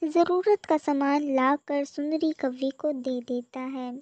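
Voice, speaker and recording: A woman's voice: sits high, slow pace, a little mellow, slight background noise. A woman reading scripted narration for a children's story. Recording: muffled lo-fi audio with echo.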